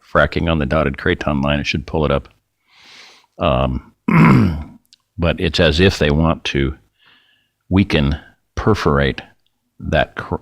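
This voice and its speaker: Middle-aged guy with a super deep voice rambling folksy over his screen, going a bit raspy, dry close sound. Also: a bit bright, dry recording. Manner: rambling, folksy, self-interrupting. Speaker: video host. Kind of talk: rambling screen-share talk.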